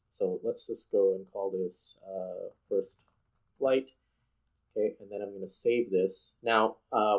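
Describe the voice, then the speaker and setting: Man, lowish voice, game video host, casual off-the-cuff commentary, explanatory, talks straight to viewers. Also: rough recording. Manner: explanatory, addressing viewers directly. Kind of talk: casual unscripted gameplay commentary.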